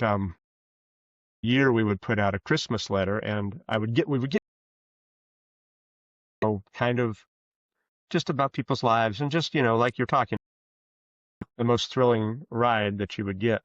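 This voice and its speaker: Older man, lowish pitch, dull lo-fi sound, casual guest chat, rambling, good-humoured. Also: no room sound. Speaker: fiction author, podcast guest.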